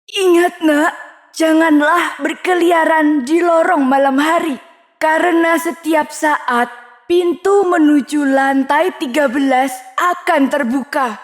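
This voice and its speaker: A woman's voice: high voice, slow. A woman voicing an ominous innkeeper in a children's storybook, giving dramatic, cautionary warnings. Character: ominous innkeeper telling a scary warning tale. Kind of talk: children's storybook character acting.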